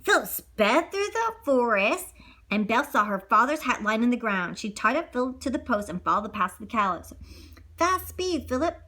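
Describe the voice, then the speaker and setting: A woman's voice: a little high, expressive, fairly quick, bright, forceful tone. A woman reading a children's story aloud as a storyteller, theatrical and improvising as she goes.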